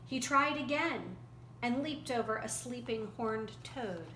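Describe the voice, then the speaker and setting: Slow, bright-voiced woman telling a folktale. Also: a little high, animated. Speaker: storyteller. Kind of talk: expressive folktale storytelling. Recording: roomy echo, faint background noise.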